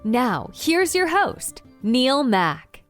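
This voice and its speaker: Higher-pitched woman announcer over music. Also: sing-song, extremely slow. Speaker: podcast intro announcer. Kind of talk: scripted promotional narration.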